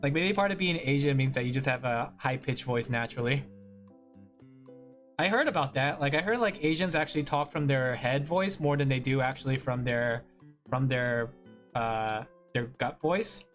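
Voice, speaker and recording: A man's voice: medium pitch, moderate pace, a bit bright, soft background music. A man streaming as a vtuber, chatting casually with his viewers while drawing, rambling, laughing often and putting himself down.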